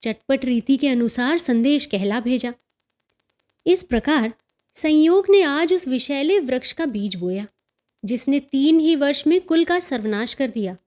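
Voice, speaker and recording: High-voiced woman narrating a story, slow. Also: expressive, a little mellow. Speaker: audiobook narrator of stories. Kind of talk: scripted literary story narration.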